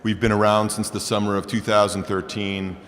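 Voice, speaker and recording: A man, a biotech founder and CEO, giving brisk, matter-of-fact facts about his company on a panel. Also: on the low side, flat delivery, a bit bright. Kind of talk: panel introduction. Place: conference hall. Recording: long hall reverb, faint room noise.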